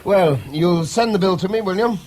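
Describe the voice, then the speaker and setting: An actor playing a proud, articulate, middle-aged British schoolmaster with a testy streak in a 1970s radio drama. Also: male voice, sits high, moderate pace, a little mellow, a little hiss or hum, natural voice. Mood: affable, dry. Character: proud, irascible educated schoolmaster, an older man.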